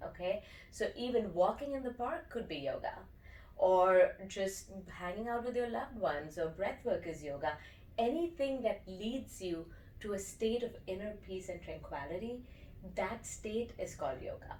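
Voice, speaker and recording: A woman's voice: mid-range voice, expressive, moderate pace, darkish tone, roomy sound, recorded from a distance, slight background noise, rough recording. A woman from India, a yoga and breathwork teacher, explaining her practice eagerly as an interview guest. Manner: enthusiastic, explanatory, often checks in with "okay?".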